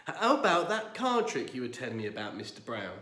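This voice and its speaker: A man's voice: medium pitch, huge pitch swings, fairly quick. A man voicing a kindly, solemn older male shopkeeper friend. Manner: gentle and solemn. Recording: echoey room.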